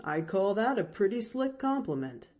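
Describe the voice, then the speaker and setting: A woman's voice: mid-range voice, big pitch swings, moderate pace, darkish tone, close to an everyday voice. Woman doing a wry, teasing man.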